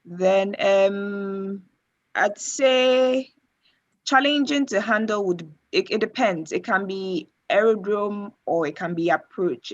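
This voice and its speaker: Woman, expert panellist, lower-pitched, hesitant explanatory delivery, dull recording. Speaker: air traffic controller answering questions.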